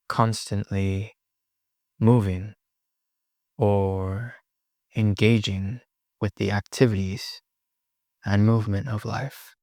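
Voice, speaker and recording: A man leading a guided meditation in short, gently instructive phrases with long pauses between them. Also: on the low side, no room sound, clean studio sound.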